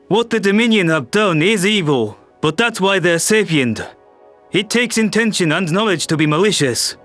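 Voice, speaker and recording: A man voicing a thoughtful, firm human military man who reasons deliberately, in an audio sci-fi story. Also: sits high, animated, a bit bright, faint music behind, pitched above the performer's own voice. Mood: reasoning.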